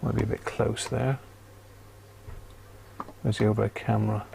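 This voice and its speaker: Low, creaky-voiced British man at a workbench. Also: flat delivery, a little mellow, a little hiss or hum. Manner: unhurried, muttering to himself while working. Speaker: host of a live radio repair stream. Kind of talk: casual live workbench commentary. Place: indoor repair workbench.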